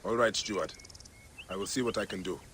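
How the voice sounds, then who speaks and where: An actor playing a stern, determined Egyptian warrior in a video game drama, speaking in a terse, intense way. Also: male voice, on the low side, moderate pace, fairly bright tone, slight background noise, natural voice. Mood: grim, determined.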